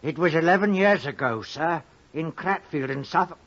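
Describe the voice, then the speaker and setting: An actor playing a wily condemned thief, wheedling and scheming in a raspy voice, in a 1950s radio drama. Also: male voice, fairly high pitch, moderate pace, fairly bright tone. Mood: eager pleading. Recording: muffled vintage 1950s radio recording.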